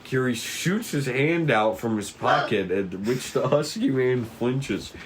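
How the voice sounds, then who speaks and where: A man's voice: mid-range voice, lively intonation, unhurried, slight background noise. A man narrating stage directions at a casual script table read, informal and stumbling now and then.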